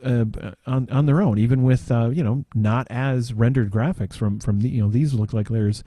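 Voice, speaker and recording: A man's voice: medium pitch, moderate pace, warm tone, no room sound, clean studio sound. A man chatting casually on a podcast, hesitant and searching for his words. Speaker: podcast panelist. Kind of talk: casual chat.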